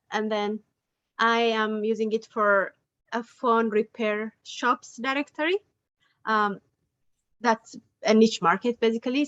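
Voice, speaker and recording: A woman's voice: mid-range voice, fairly bright tone. A woman from Ethiopia introducing her directory project on a video call, explaining plainly with fillers. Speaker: webinar participant, website developer. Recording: dull lo-fi video call audio.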